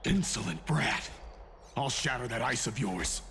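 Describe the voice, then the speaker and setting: A man playing a gruff, zealously loyal military officer in a video game, proud, indignant and declamatory. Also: mid-range voice, expressive, measured pace, fairly bright tone, slight background noise.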